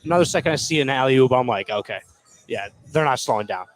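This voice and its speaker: A man's voice: medium pitch, animated, fast talker. A man giving casual, slangy color commentary on a live basketball game. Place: indoor gymnasium, courtside.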